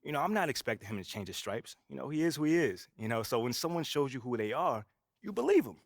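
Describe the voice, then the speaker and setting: A cocky young pro basketball rookie in a sports game, talking in a laid-back, brash way and leaning on "you know" again and again. His voice sits at an ordinary middle pitch, and the recording is close and dry. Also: big pitch swings, quick pace, creaky, dry recording. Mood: measured. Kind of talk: video game cutscene voice acting.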